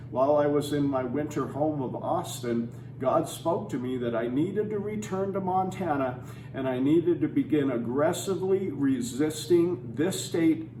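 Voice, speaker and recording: A man's voice: fairly high pitch, warm tone. A man delivering a scripted campaign speech, preachy and emphatic with religious conviction. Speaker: anti-legalization campaigner. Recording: roomy and distant with faint noise.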